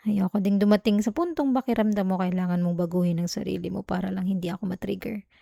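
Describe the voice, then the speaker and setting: A woman's voice: medium pitch, steady tone, fairly quick, creaky, dark tone, no room sound. A woman acting as a jealous, clingy young girlfriend in an intimate ASMR roleplay, with a Filipino accent. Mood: remorseful, tender.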